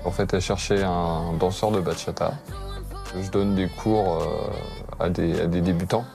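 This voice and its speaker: Casting: thirties man, soon-to-be dad, low gravelly voice, relaxed and a bit hesitant. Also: a little slow, over music. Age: 30s. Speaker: expectant father. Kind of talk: casual interview chat.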